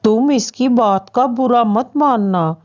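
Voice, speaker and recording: A woman's voice: a little high, takes it slowly, darkish tone, lo-fi sound. A woman voicing a tender, worried widowed father bird in a children's cartoon story, earnest and pleading. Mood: tender, concerned.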